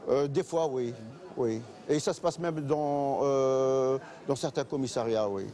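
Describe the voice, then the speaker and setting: A man's voice: mid-range voice, a little slow, dark tone. A man answering an interviewer candidly but cautiously, with hesitant phrasing.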